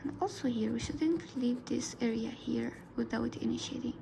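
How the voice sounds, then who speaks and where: A woman whose first language is Arabic talks through a drawing lesson in a soft, half-whispered voice, pitched a little high and slightly gravelly. She speaks slowly and unhurriedly, and the recording carries clear background noise and pencil scratching. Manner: soft, often whispered narration. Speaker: art tutor. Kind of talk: drawing tutorial voice-over.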